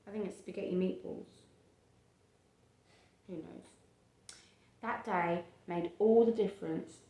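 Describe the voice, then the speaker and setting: A woman's voice: on the low side, slow pace, mellow, reverberant. A woman reading a picture book aloud to a child, stopping to explain the pictures simply and acting out the quotes. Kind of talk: storytime read-aloud with asides to a child.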